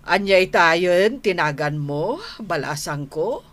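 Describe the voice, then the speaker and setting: A woman playing a genial older neighbour in a radio drama, speaking with unhurried, hesitant pauses. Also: lowish voice, expressive, fairly bright tone. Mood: friendly. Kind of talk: Ilocano radio drama acting.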